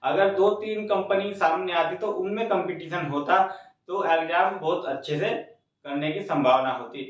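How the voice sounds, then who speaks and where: A man with a high voice gives an informal news update as a channel host. He explains point by point, repeating himself and addressing viewers directly. The recording is heavily echoey and sounds far from the microphone. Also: normal speed. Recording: very echoey room, distant mic, dull sound.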